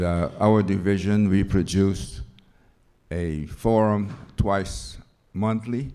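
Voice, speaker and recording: A man introducing himself at a roundtable as a community organization leader, speaking in an earnest, deliberate way. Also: deep voice, mellow, clean tone.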